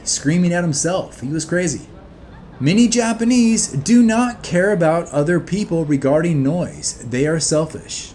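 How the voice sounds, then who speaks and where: A man's voice: a little high, a little mellow. A man delivering scripted opinion narration in a blunt, emphatic, scornful manner. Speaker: video narrator.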